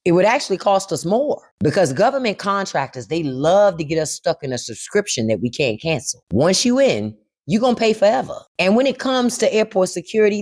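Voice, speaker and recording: A woman delivering scripted political commentary full of rhetorical questions. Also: mid-range voice. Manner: lively, rhetorical, folksy asides.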